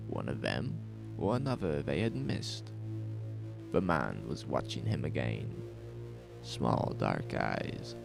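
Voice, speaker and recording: A man with an extremely deep, heavily creaky voice narrates a horror audio drama. He takes his time, measured and suspenseful, while his pitch moves expressively. Music plays clearly underneath. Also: rough recording.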